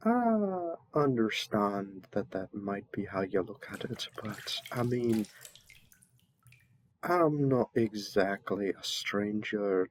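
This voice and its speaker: A man's voice: lowish voice, sing-song, slow, rough recording. A man playing a flustered, polite envoy mistaken for a servant, speaking hesitantly with stammers and deference in a roleplay audio drama. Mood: polite, reserved.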